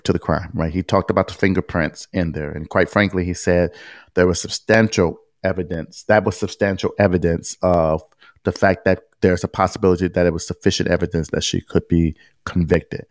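A man's voice: low voice, no echo, studio quality. A man co-hosting a casual podcast, walking through a court ruling in a blunt, plain-spoken way and quizzing his co-host about it. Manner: explains a court ruling at length, reads passages aloud, quizzes his co-host. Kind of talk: casual legal discussion.

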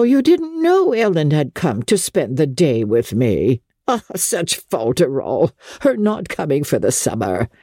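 A husky, shrill old grande dame of a classic novel, as a woman narrator plays her. She teases bluntly and genially, with exclamations and big swings of pitch. The recording is dry and close. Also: dark tone, no echo, exaggerated voice. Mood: chatty, scoffing. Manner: chuckling, exclaiming, bluntly teasing. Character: shrill, teasing old society matriarch, genial and outspoken. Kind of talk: dramatic audiobook character reading.

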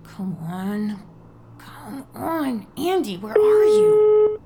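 An actress playing a frightened, bewildered ordinary woman, telling her own thriller story in an urgent, breathy way. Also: high-pitched, big pitch swings, very slow, exaggerated voice. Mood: anxious, panicked. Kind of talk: first-person dramatic audio fiction narration. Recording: noisy sound-effect ambience behind voice.

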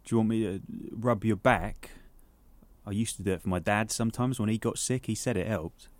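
An actor playing a teasing but considerate young theme-park worker with a British accent, informal and conversational in an audio drama. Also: male voice, lowish voice, brisk, no echo, natural voice. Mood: concerned. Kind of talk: naturalistic audio drama acting.

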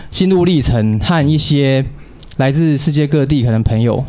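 A man hosting a radio show in casual chat, teasing and a little halting. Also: medium pitch, animated, a little mellow.

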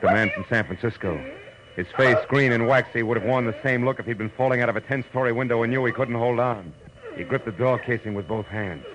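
Hard-boiled male private eye, played by a man, American, low and clipped, sardonic; muffled vintage radio drama. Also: lightly acted. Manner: clipped, wisecracking, slangy. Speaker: detective lead and first-person narrator. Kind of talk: old-time radio noir acting and narration. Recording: muffled old radio recording, music behind.

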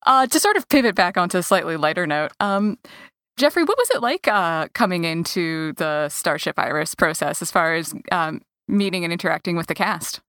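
A woman's voice: lowish voice, animated, moderate pace, fairly bright tone, no echo, clean studio sound. A woman interviewing a guest in a conversational style, putting questions to them.

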